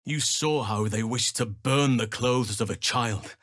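An actor playing an earnest, battle-seasoned druid and protector of nature in a fantasy video game, speaking in a measured, solemn manner with formal, old-fashioned phrasing. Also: male voice, mid-range voice, fairly bright tone, no echo, clean studio sound, close to an everyday voice. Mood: wry, musing.

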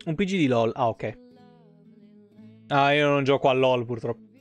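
A man's voice: mid-range voice, normal speed. A man, reading game lore aloud and breaking off for informal asides as a live streamer. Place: indoor home streaming setup. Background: soft plucked guitar game music.

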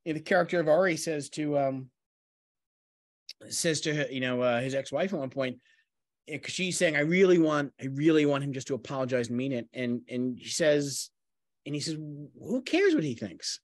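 A middle-aged man, a playwright in a talkback discussion on a video call, speaking haltingly and rephrasing often. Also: on the high side, lively intonation, no echo, studio quality. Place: room at home, on a video call.

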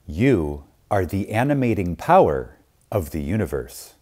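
Slow, mellow man reading with dramatic swells. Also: low voice, sing-song. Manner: reverent, deliberate, emphatic with pauses. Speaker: reader of a lecture. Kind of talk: scripted spiritual lecture reading.

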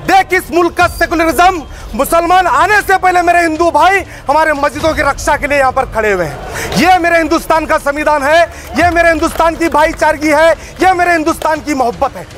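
A man gives an impassioned, forceful public statement as an activist, speaking emphatically outdoors to a news camera. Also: very high-pitched, fairly quick. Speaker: community activist giving a press statement. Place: busy open street outside shopfronts. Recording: outdoor street noise.

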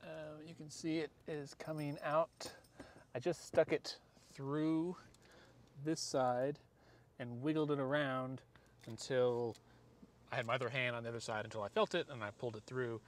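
A man's voice: medium pitch, fairly even intonation, moderate pace. An American man in his forties talking through a hands-on job in a casual, rambling, self-deprecating way. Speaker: vlogger doing a product installation. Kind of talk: casual hands-on how-to vlog. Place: outdoors in a driveway and inside a parked car. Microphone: camera or phone mic, arm's length to a few feet. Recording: noisy outdoor and in-car camera audio. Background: clear ambient noise.

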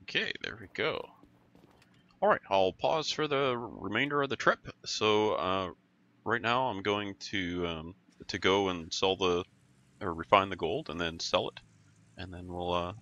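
Low stuffed-up man narrating gameplay. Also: a little slow, pushed and bright, dull audio. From Canada. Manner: rambling, self-correcting, thinking aloud. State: stuffy, growly voice. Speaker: gaming channel host. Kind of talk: casual let's-play commentary.

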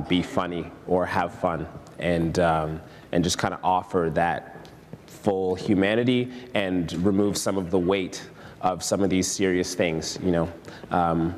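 Deep-voiced musician on a panel. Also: male voice, long reverb tail, a little hiss or hum. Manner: relaxed, reflective, frequent ums. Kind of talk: panel discussion. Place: TV-style studio set.

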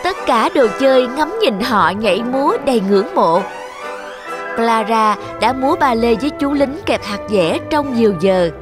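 A woman narrates a children's fairy tale from a script. Her voice sits fairly high, and music plays clearly behind her. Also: lively intonation, normal speed. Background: clear background music.

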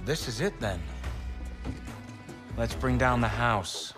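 A man's voice: medium pitch, animated, takes it slowly, over music. An actor playing a determined young male leader of a street racing crew in a video game. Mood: resolute.

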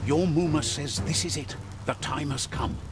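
A man playing a wise, solemn storyteller, narrating a video game fable in a measured, earnest manner. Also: a little high, over loud music, close to an everyday voice. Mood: grave, tender.

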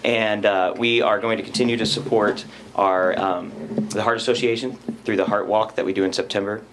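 A man's voice: on the low side, steady tone, a little fast, noisy background. A man in his thirties, a teachers' association representative, giving an enthusiastic, earnest report to a school board. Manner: enthusiastic, earnest, standing while speaking. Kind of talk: spoken report at a board meeting. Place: school board meeting room, indoors.

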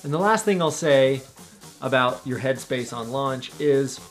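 A man's voice: mid-range voice, expressive, a little slow, faint music behind. A young man hosting a tutorial vlog, talking straight to the camera in an earnest, coaching way from a small room at home. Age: young adult. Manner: earnest, coaching, gestures with his hands. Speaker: paragliding instructor and channel host.

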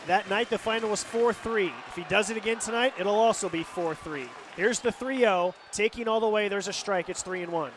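High-pitched American baseball play-by-play man. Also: a little fast. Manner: descriptive, calling action as it happens. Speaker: radio play-by-play announcer. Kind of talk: live baseball radio commentary. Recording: loud stadium crowd noise behind. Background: ballpark crowd and outdoor stadium ambience.

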